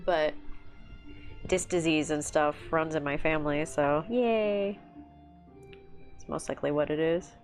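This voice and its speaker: A woman's voice: low-pitched, a little slow, pauses often. A woman in her thirties hosting a casual livestream, chatty and self-deprecating, laughing often as she talks. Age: 30s. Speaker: livestream host. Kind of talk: casual chat while painting miniatures. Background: faint music.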